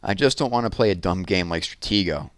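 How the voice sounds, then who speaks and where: A man's voice: low-pitched, animated, a little fast, a bit bright, no room sound. A man voicing a sullen, angry young boy with a curt, grumbling manner in a children's story. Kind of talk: children's audiobook character dialogue.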